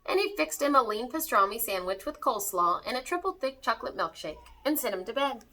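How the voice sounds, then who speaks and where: A woman reads a children's story aloud in rhyme. Her voice is fairly high and bright, and her pitch moves up and down a great deal as she tells it expressively. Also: animated, a little fast, slight background noise.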